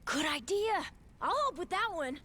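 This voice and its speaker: Eager high-pitched kid. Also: female voice, sing-song, normal speed, sharp tone, exaggerated voice. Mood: eager, scheming. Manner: earnest, questioning, informal. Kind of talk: video game cutscene acting.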